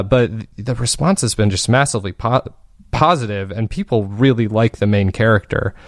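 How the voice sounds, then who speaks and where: A man's voice: on the low side, no echo, clean studio sound. A man talking casually as an interview guest, explaining things in a rambling, self-correcting way. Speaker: podcast creator interviewed as guest.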